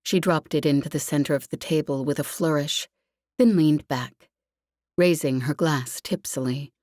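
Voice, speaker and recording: A woman's voice: sits low, normal speed, darkish tone, no room sound. A woman reading scripted fiction as an audiobook narrator, recorded close. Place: dry, close recording space.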